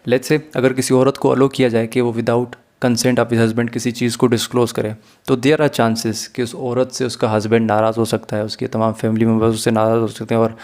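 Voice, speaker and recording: A man's voice: mid-range voice, little pitch movement, moderate pace, a little mellow. A man with a Pakistani accent giving an explanatory law lesson, speaking didactically to students and slipping into English phrases. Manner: didactic, addresses students directly. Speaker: law lecturer. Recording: clear background noise and hum.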